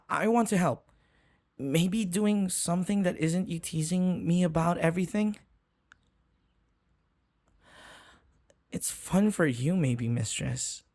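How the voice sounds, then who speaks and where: A man's voice: a little high, darkish tone. A man playing a timid, submissive human servant in an audio roleplay, speaking hesitantly and deferentially with stammers. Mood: flustered, eager. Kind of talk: audio roleplay acting, one-sided dialogue.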